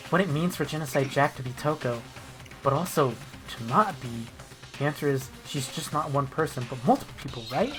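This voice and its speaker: A woman with a deep voice gives casual gaming commentary on a group stream. She is blunt and swears freely, with game music clearly heard behind her. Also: lively intonation, a little fast.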